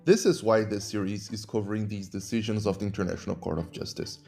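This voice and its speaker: A man with a Brazilian accent, a native Portuguese speaker, hosting a podcast and explaining legal ideas in measured, teacherly narration. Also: fairly low pitch, steady tone, gravelly, warm tone, faint music behind. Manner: measured, didactic. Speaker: podcast host and human rights lawyer.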